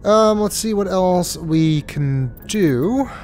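A man's voice: high voice, expressive, slow pace, darkish tone, slight background noise. A congested man with a stuffy nose giving casual, hesitant commentary as he plays through a video game. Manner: hesitant, with many ums and uhs. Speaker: let's play host.

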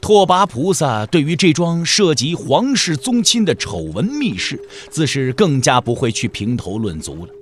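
Lively, higher-pitched male storyteller. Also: moderate pace, few pauses, slight background noise. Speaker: narrator. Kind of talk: scripted dramatic storytelling narration. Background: music.

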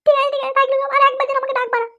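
A woman's voice: very high voice, level tone, rapid-fire, bright, forceful tone, full character voice. A woman voicing an aggrieved, nagging young cartoon wife who whines and argues. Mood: angry nagging. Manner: whining, argumentative. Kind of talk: comic cartoon dialogue.

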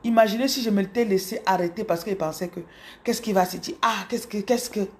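A woman in her thirties from Cameroon with a Cameroonian French accent, telling her story to her followers in an animated, casual way, speaking close to a phone in a small room. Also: mid-range voice, a little fast. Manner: animated, repeats words, addresses viewers directly. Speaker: business coach. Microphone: phone camera held close.

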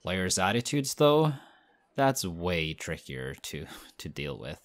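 A man voicing a friendly, modest young male team captain in an audio roleplay, talking in a relaxed, chatty way. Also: low voice, takes it slowly, full character voice. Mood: excited, friendly.

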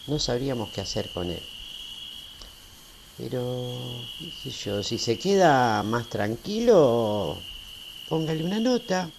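A man's voice: mid-range voice, big pitch swings, creaky, slight background noise, heavily acted. An actor playing an easygoing, teasing small-town railway man in a radio drama, folksy. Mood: amused, folksy. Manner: folksy, unhurried, conversational.

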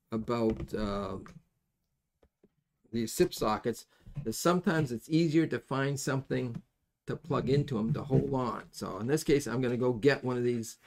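A middle-aged man giving a casual hands-on soldering tutorial, folksy and halting as he thinks aloud. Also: medium pitch, creaky, a little mellow. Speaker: electronics soldering instructor. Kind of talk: hands-on tutorial, explaining casually while working. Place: indoors at a workbench.

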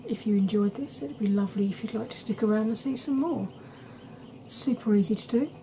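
A woman in her thirties chatting to camera at home in a soft ASMR style, rambling and often dropping into whispers. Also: fairly high pitch, brisk, a little hiss or hum, rough recording. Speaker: beauty vlogger. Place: small cluttered room at home.

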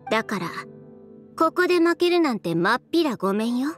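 Cool, high-voiced young fashion designer. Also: female voice, very animated, a little fast. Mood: resolute. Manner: cool, decisive, blunt. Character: confident, brisk, determined young female fashion designer. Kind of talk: Japanese anime game voice acting. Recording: music behind the voice.

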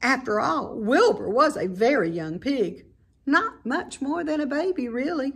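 A middle-aged woman with a slightly husky voice reads a children's story aloud expressively, like a teacher. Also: high-pitched, expressive, unhurried. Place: indoor room at home by a staircase.

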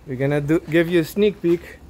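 A man's voice: on the high side, a little fast, a little mellow. A man, chatting casually as he hosts a car vlog. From Aruba. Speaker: car vlogger, host. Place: outdoors at open garage and yard. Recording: outdoor ambience, light background noise.

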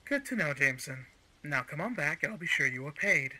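An actor playing a smug, menacing male crime boss in an audio roleplay, speaking in a mocking, condescending and deliberate way. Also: on the high side, lively intonation, pushed and bright, full character voice, poor audio. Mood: teasing, familiar. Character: smug, menacing male crime-organization villain, coolly coercive. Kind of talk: scripted audio roleplay villain acting.

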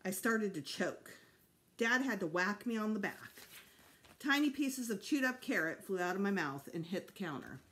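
A woman's voice: mid-range voice, very animated, normal speed, a bit bright. A middle-aged woman reading a children's storybook aloud expressively at home, like a teacher. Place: small room at home.

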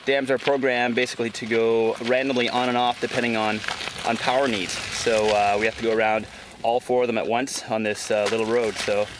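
A man in his thirties talking unscripted to a camera outdoors on a canoe trip, with a halting delivery. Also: medium pitch, flat delivery. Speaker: expedition filmmaker and presenter. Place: outdoors by a wild river and trail. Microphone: handheld camera at arm's length. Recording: noisy outdoor camera audio with ambient sound.

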